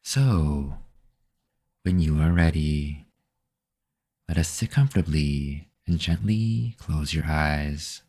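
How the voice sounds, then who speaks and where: A man leading a guided meditation in a calm, measured, soothing manner. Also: rumbling low. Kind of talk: slow guided meditation narration.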